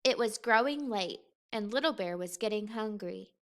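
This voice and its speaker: A woman reading a children's story aloud in an expressive, unhurried, deliberate way. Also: mid-range voice. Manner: unhurried, expressive, deliberate phrasing for young listeners. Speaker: teacher reading a picture book aloud.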